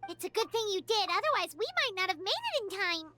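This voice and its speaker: A woman voicing an eager, earnest young girl fighter in a video game, full of bright exclamations. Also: extremely high pitch, sing-song, fairly quick. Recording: game audio under loud music.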